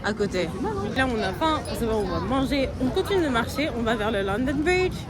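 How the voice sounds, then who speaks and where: A woman's voice: fairly high pitch, lively intonation, brisk. A young woman chatting casually to her viewers as she vlogs through city streets and shops, slangy and talkative. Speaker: vlogger host. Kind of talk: casual travel vlog narration. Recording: loud street crowd and music behind.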